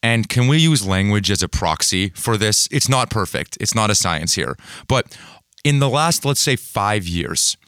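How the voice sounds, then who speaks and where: Low-voiced male podcast interviewer. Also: animated, unhurried, a bit bright, clear, resonant tone, no echo, studio quality. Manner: brief reactions and pointed questions. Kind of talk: conversational interviewing.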